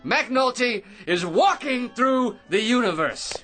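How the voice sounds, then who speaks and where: An actor playing an excitable, self-important eccentric in a radio drama, rambling and stammering through grand schemes with theatrical delivery. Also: male voice, extremely high pitch, slow, faint music behind, heavily acted. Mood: smug, triumphant.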